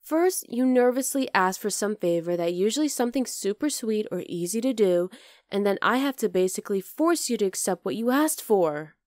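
A woman's voice: mid-range voice, lively intonation, normal speed, a little mellow, dry recording, studio quality, close to an everyday voice. A woman voicing a teasing, affectionate young wolf-girl girlfriend in an ASMR roleplay, casual and exasperated but tender. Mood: fond, teasing. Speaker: voice actor in audio roleplay. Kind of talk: ASMR roleplay, acted one-sided dialogue.

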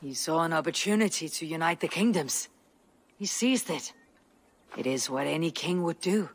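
A woman playing a stern, loyal, battle-hardened Viking warrior with a husky voice and firm, earnest delivery in a video game. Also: low-pitched, moderate pace. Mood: reasoning, calm. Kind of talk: dramatic video game acting.

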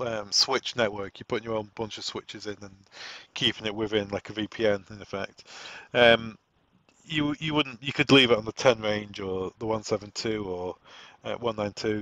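A man giving an informal software setup walk-through, explaining conversationally and thinking aloud as he goes. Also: lowish voice, normal speed, no room sound. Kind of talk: informal software tutorial narration. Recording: dull, lo-fi, dry close recording.

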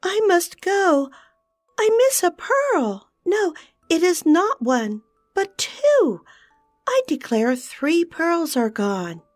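Storybook fairy queen type, a woman narrator doing her, high, commanding and sharp-tempered, dry close sound. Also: very animated, slow pace, dry recording, faint music behind, exaggerated voice. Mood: alarmed. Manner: shrill and imperious. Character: proud, hot-tempered female fountain fairy.

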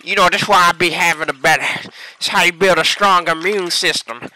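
A man performing comedic animal voiceovers in an exaggerated, slangy, expressive way. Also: high-pitched, a little fast, poor audio.

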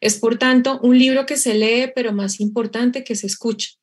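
A woman's voice: fairly high pitch, steady tone, normal speed, slightly rough. A young woman reading a prepared, formal book review aloud over a video call. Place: room indoors. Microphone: video call webcam.

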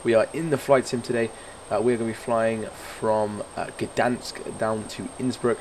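Hoarse, croaky man narrating a flight sim. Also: fairly low pitch, flat delivery, normal speed, darkish tone, slight background noise, rough recording. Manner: rambling, many fillers and restarts. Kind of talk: casual gameplay commentary.

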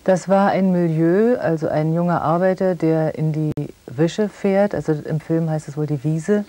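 Female TV interviewer, forties, soft dark tone, measured questioning, close and dry studio sound. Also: low-pitched, fairly even intonation, no echo.

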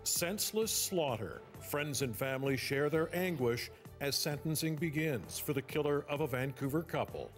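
Man, news anchor, measured delivery, studio newscast. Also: fairly high pitch, lively intonation, faint music behind.

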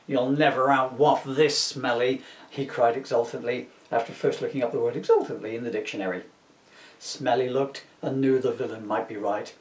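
A middle-aged man reading a comic story aloud in an animated storytelling style. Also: mid-range voice, a little fast. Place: small room at home. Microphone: camera a couple of metres away. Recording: roomy, distant camera microphone.

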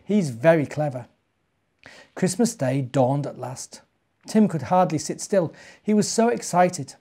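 Mellow British man reading to children. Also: fairly high pitch. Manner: expressive, deliberate, addressing young children. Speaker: church minister reading stories to children. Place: living room, indoors.

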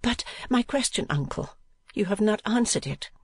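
A meek young niece in a storybook, played by a man. The voice is lifted high and light, gentle and earnest as it pleads, in a dry recording. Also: animated, normal speed, slightly rough, dry recording, exaggerated voice. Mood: apologetic, pleading. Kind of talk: audiobook character voice.

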